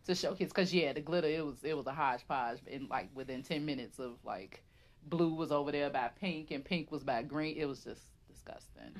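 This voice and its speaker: A woman's voice: sits low, brisk. A woman in her thirties with a stuffy head cold, co-hosting a casual podcast chat and often laughing as she tells stories. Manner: chatty, laughs often, animated retelling. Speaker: podcast co-host.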